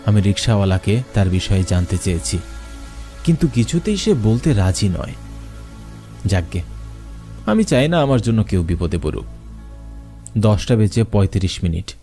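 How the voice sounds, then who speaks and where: A man with a fairly low voice tells a ghost story in the first person, building suspense line by line. Clear music plays under his narration throughout. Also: dark tone.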